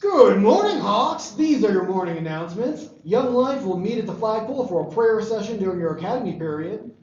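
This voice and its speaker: A man playing an upbeat, self-satisfied high school principal reading morning announcements at a table read. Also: animated, fairly quick, darkish tone. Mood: peppy, proud. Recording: dull echoey room.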